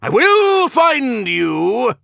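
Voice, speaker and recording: A shady snake-oil salesman makes a slick, sinister pitch in a gravelly voice. He drags his words out slowly, swinging widely in pitch. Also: huge pitch swings, fairly bright tone, dry recording, exaggerated voice. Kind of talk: game character voice lines.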